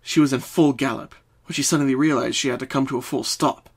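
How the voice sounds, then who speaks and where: A man's voice: mid-range voice, fairly even intonation, fast talker, vocal fry, breathy edge. A man reading scripted story narration as a dramatic narrator.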